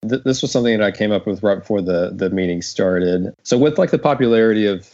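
A man casually hosting a panel discussion, halting and padding his sentences with filler words. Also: lowish voice, a little mellow, highs cut off. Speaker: host and creator of the podcast.

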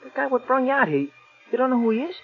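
An actor playing a loyal, dim, anxious male sidekick with a husky, stammering, slangy delivery in 1940s radio style. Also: extremely high pitch, very animated, very fast, soft background music, heavily acted. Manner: stammering, repetitive, slangy bad grammar. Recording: muffled old-time radio recording.

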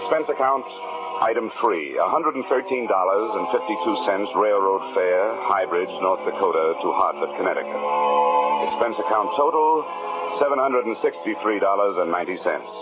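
An actor playing a dry, cool-headed insurance investigator in a 1950s radio detective drama, with an American accent and wry manner. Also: male voice, fairly low pitch, moderate pace, close to an everyday voice. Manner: wry, deadpan. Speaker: lead actor and narrator. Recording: lo-fi 1950s radio recording, organ-era music.

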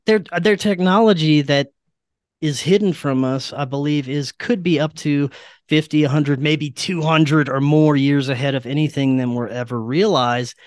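A man's voice: on the high side, moderate pace, smooth, no room sound, studio quality. A man talking casually and earnestly as a podcast guest, telling strange stories in an informal way. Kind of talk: casual conspiracy and paranormal talk.

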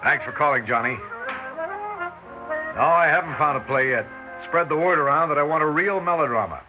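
A man's voice: a little high, a little fast, loud music behind the voice, natural voice. A man playing a brusque, self-satisfied Broadway producer in 1960s radio drama style, wisecracking and dismissive. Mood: brisk. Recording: dull vintage radio recording with hiss.

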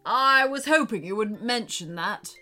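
Storybook wolf, large, male, amiable and worried, performed by a woman; bright delivery, hesitant chatty manner. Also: medium pitch, expressive, measured pace, soft background music. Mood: sheepish. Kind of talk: children's storybook character voice.

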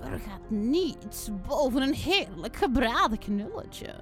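A woman voicing a crooked, greedy old witch in a storybook reading, shrill and cackling. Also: sing-song, slow, faint music behind.

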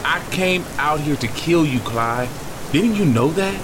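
A man's voice: on the high side, lively intonation, a little slow. A man acting an embittered, grieving brother in an audio drama, with anguished delivery. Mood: grim, confessing. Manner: anguished, profane outbursts. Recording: heavy rain and storm noise behind. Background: rain and storm ambience.

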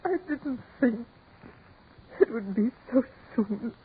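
An actress playing a fragile, frightened woman in 1940s radio horror, with halting, melodramatic pleading. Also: fairly high pitch. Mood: stunned dread. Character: frightened, fragile woman gripped by supernatural dread. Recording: scratchy, tinny 1940s radio recording.

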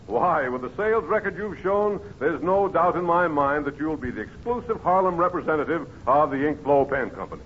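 Casting: man as courteous company sales manager, highish lively voice, 1940s radio comedy, tinny sound. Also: a little fast, few pauses, slight background noise, close to an everyday voice. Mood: enthusiastic. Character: polite, businesslike corporate sales manager.

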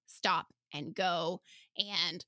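A woman hosting a casual podcast interview, telling stories in an animated, informal way. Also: lowish voice, very slow, no echo. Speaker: podcast host; homeschooling parent.

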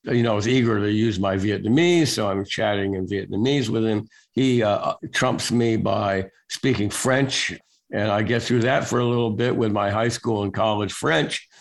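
An older man being interviewed as a guest, telling stories in a relaxed, wry way with lots of filler words. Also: fairly low pitch, moderate pace, vocal fry. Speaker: guest, national security reporter. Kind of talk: casual storytelling interview.

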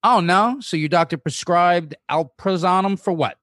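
A man's voice: high-pitched, moderate pace, fairly bright tone, dry recording, studio quality, pitched above the performer's own voice. A man playing a sarcastic, teasing younger brother of thirty-one in a screenplay reading. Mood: mocking, breezy. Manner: sardonic, breezy, mocking.

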